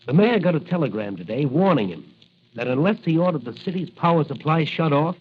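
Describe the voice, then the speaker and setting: A resolute young crime fighter from a 1940s radio adventure, played by a man. His voice is husky and slightly high, and he speaks with grave, deliberate conviction. The recording is muffled like an old broadcast. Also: lively intonation. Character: young rookie cop turned masked crime fighter, earnest and resolute.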